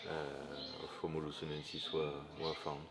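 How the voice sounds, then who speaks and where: A French man in his forties with a very low voice gives unscripted interview answers as a scientist. His English carries a French accent, and there is clear noise around him in the open air. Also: completely flat delivery, moderate pace. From France. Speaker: archaeologist being interviewed. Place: open cave mouth at a dig site. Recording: noisy outdoor field recording.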